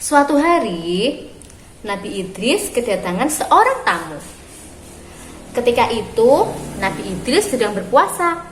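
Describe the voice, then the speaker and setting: A woman reading a story aloud for young children, phrasing expressively and deliberately with pauses. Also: a little high, sing-song. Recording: roomy echo with clear background noise.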